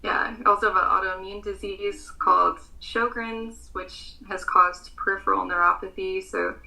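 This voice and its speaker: A woman's voice: medium pitch, fairly even intonation, moderate pace, vocal fry, pushed and bright, poor audio. A young American woman in her twenties with an American accent, a pageant contestant answering interview questions earnestly, with frequent ums.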